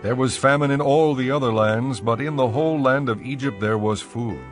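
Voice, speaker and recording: A man reads scripture as a narrator with a measured, formal delivery. His pitch is average, and faint music plays behind the voice.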